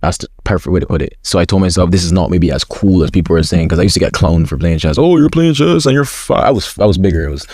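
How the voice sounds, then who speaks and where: A man's voice: low-pitched, lively intonation, fast, few pauses, darkish tone, slightly rough, dry recording. A young man as an interview guest, chatting openly in slangy talk with many repeated words. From school system with forms. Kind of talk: casual interview chat.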